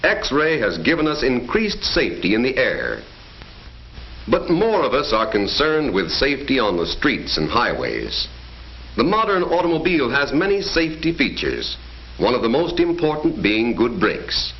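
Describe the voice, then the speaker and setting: A man's voice: medium pitch, huge pitch swings, normal speed. Emphatic 1940s newsreel-style male narrator. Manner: emphatic, declamatory announcer delivery. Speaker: film narrator. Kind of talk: scripted 1940s educational film narration. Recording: dull 1940s film soundtrack with faint music.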